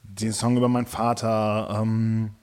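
Ein Mann Ende zwanzig, der im Interview nachdenklich über sein Musikalbum spricht, mit vielen Füllwörtern und Neuansätzen. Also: male voice, on the low side, little pitch movement, measured pace, a little mellow. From Germany. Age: late 20s. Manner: thoughtful, many fillers and restarts. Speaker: musician guest. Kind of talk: casual interview talk.

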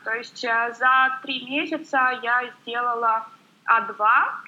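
A woman guest chatting quickly and warmly in an interview, full of filler sounds. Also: fairly high pitch, fairly even intonation, muffled recording, a little hiss or hum. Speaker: guest blogger. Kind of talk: casual interview chat.

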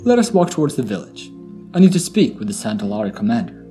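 A man's voice: medium pitch, sing-song, fast, warm tone, faint music behind, close to an everyday voice. A man playing a proud, refined blood elf officer in a fantasy audio drama, speaking formally and articulately. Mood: earnest, resolute.

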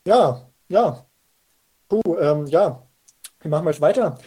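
A man playing a flustered, stammering know-it-all chief detective in a youth radio play, with a husky, hesitant voice. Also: fairly high pitch, lively intonation, slow, mellow, heavily acted. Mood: flustered, unsure. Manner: hesitant, stammering, fillers everywhere.

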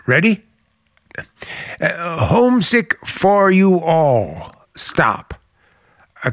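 A man acting in a radio play as an evasive, outwardly polite man on the run with secret menace, speaking in a halting, dryly polite way. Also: fairly high pitch, a bit bright, no echo, studio quality, exaggerated voice. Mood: hesitant, courteous.

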